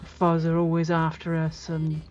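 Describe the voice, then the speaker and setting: A woman's voice: low-pitched, completely flat delivery, unhurried, a little mellow. A woman chatting casually as a player in a tabletop role-playing game. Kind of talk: casual chat. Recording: muffled lo-fi audio with music behind. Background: background music.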